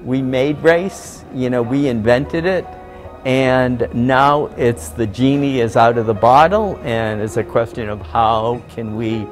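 An older man with a mid-range voice explains his views in an earnest, explanatory way. Music plays clearly beneath the interview. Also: mid-range voice, slow pace. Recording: music bed underneath.

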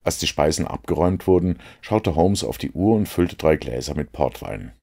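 A man reading a literary story aloud in a measured, deliberate way as an audiobook narrator. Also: very deep voice, animated, vocal fry, dark tone. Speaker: audiobook reader.

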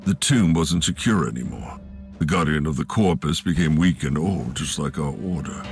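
Very deep grave inquisitor. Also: male voice, moderate pace, darkish tone, faint music behind. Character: grave male inquisitor, guarded and proud, then humbly apologetic. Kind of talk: video game cutscene acting.

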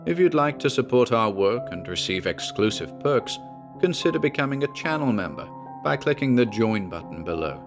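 A man delivering scripted horror drama narration in a grave, measured storytelling manner. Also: medium pitch, very animated, music in the background. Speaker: narrator and announcer.

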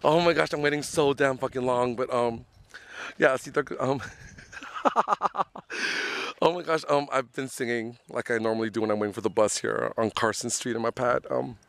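A man's voice: medium pitch, fairly even intonation, moderate pace, gravelly, fairly bright tone. Rambling man vlogging from a phone. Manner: rambling, chatty, lots of ums and giggles. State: slightly hoarse from losing his voice. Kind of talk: casual self-filmed travel vlog. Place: outdoors at a bus stop, then on a bus and a train. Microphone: phone held at arm's length. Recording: faint vehicle and street noise behind.

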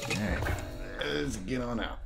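Male gamer, lowish voice, casual and jokey, muttering offhand over loud game soundtrack. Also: animated, measured pace, gravelly. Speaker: gamer commenting on a horror game playthrough. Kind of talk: casual gameplay commentary. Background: loud game music and sound.

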